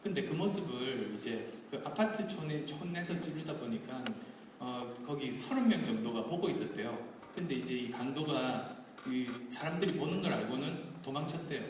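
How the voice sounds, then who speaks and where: A man on stage telling a story in a relaxed, conversational way, with hesitant fillers. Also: mid-range voice, moderate pace, a little hiss or hum. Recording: echoey hall, distant mic.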